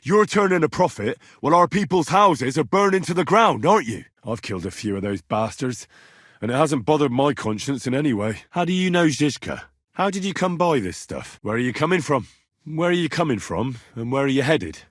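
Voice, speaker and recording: A man's voice: medium pitch, animated, brisk, fairly bright tone, no room sound, clean studio sound. A man voicing a young medieval man-at-arms in a video game, earnest, cheeky and plain-spoken, talking in a direct, inquisitive way. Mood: angry, alarmed, then probing. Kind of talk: video game dialogue acting.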